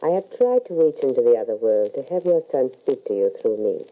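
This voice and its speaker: An actor playing a wise, cautious occult medium in an old radio drama, speaking in a measured, formal, ominous way. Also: male voice, medium pitch, very animated, narrow, tinny audio. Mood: measured, probing. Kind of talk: 1940s radio drama acting. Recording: band-limited vintage radio recording.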